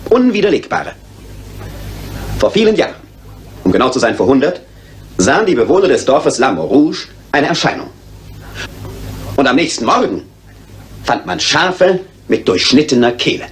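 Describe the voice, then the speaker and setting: An actor playing a pompous lord who lectures formally and insistently in a German radio drama. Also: male voice, mid-range voice, big pitch swings, moderate pace, pauses often, close to an everyday voice. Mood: lecturing. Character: pompous aristocratic male lord lecturing on the occult.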